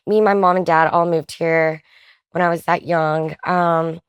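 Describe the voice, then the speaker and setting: A young woman from the Philippines talking as an interview guest, her voice sitting on the low side for a woman. She speaks in a relaxed, chatty way, full of little fillers, and laughs easily. The sound is close and dry. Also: measured pace, smooth, no echo, dull audio. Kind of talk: casual interview chat.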